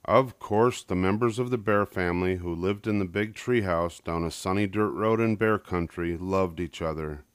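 A man's voice: low voice, normal speed, hardly any pauses, smooth, no room sound. An older man narrating a children's picture book as a scripted story reading.

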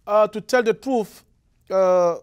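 A young man from the Republic of the Congo with a Congolese French accent, giving halting interview answers full of fillers and repeats. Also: high voice, darkish tone, clean tone, dull audio. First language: Lari. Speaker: interview guest, musician. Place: studio with blue curtain. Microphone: lapel mic.

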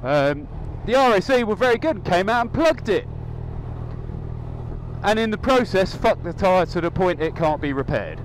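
A man's voice: high-pitched, big pitch swings, normal speed, rough recording. A young man chatting casually as he rides his motorcycle, with a microphone inside his helmet and a rambling, upbeat manner full of ums. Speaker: motovlogger. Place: outdoors on a moving motorcycle. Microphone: helmet mic. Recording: faint road and engine noise.

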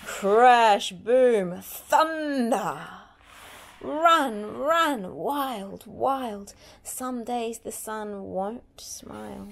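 A woman reading a picture book aloud to young children with dramatic, drawn-out, expressive delivery. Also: fairly high pitch, vocal fry.

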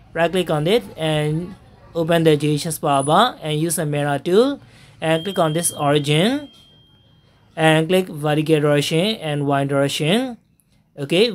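Woman narrating a software tutorial. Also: low-pitched. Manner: step-by-step instructions with frequent fillers and pauses. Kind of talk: screen-recorded software tutorial voice-over.

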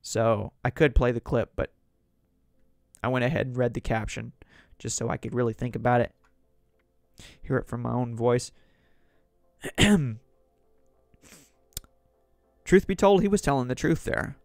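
A man with a sore, hoarse throat hosting a solo podcast, reading news articles aloud and rambling on about politics. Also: mid-range voice, measured pace, long pauses, a little mellow, dry recording. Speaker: podcast host.

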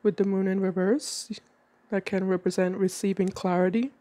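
A woman with a cold giving a calm tarot reading at a table indoors, slowing down as she names each card. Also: mid-range voice. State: has a cold. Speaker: tarot reader.

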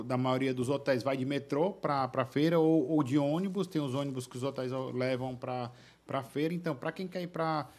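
A young man, a podcast guest, answering casually with many fillers and restarts, recorded on a boom-arm studio mic in a small studio.

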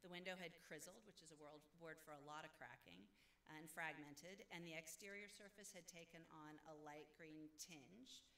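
A woman's voice: sits low, normal speed, pushed and bright. A woman scientist giving a conference talk with slides, conversational, with many "uh" fillers and self-corrections. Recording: echoey room, faint background noise.

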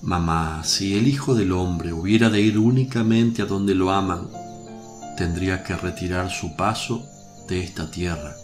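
Guy acting a calm solemn holy preacher, radio drama, deepish voice, music clearly behind. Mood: tender, grave.

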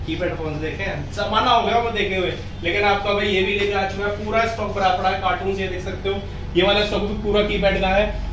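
A man presents an energetic promotional vlog to camera, emphatically repeating key phrases. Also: sits high. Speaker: host of a video channel. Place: small office stockroom, indoors. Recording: echoey room, distant mic, noisy.

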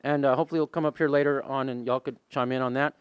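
Male, 40s, Texan accent, Spanish first language; rambling panelist, clear voice, dry close mic. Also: mid-range voice, fairly even intonation, very fast, dry recording. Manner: rambling, many fillers and restarts. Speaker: poet and high school English teacher on a panel. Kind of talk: casual panel talk. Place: museum meeting room with a small audience. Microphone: handheld mic.

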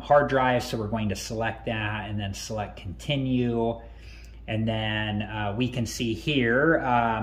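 A man hosting a tech tutorial, calmly talking through each step on screen with frequent ums and uhs. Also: fairly low pitch. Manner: relaxed, many ums and uhs.

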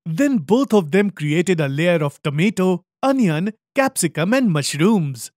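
A woman's voice: on the low side, very animated, unhurried, darkish tone, dry recording, studio quality. A woman narrating a scripted children's story.

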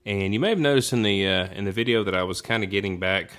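A man's voice: on the low side, fast talker, a bit bright. A man giving a casual how-to narration in an informal, folksy way, thinking aloud as he goes, recorded in a room at home. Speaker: DIY demonstrator.

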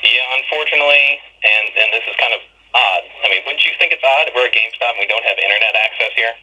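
A man's voice: medium pitch, fast talker, bright, forceful tone. A man working as a store clerk, talking casually and patiently over the telephone, matter-of-fact. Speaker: video game store clerk. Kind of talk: casual phone conversation. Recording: harsh, raspy telephone line.

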